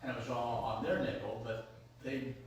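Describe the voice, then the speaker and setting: A man's voice: mid-range voice, fairly even intonation, moderate pace. Firm man heard far off in a noisy hall. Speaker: former club president. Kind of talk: community meeting discussion. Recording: very echoey hall, from far off, with noise.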